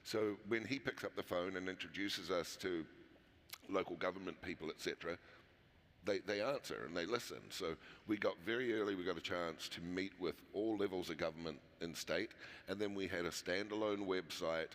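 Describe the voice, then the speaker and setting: A man's voice: low voice, moderate pace, fairly bright tone. A man with a New Zealand accent, a company founder talking casually in an interview, with frequent fillers and restarts. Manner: conversational, many fillers and restarts. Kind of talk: conference interview. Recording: long hall reverb.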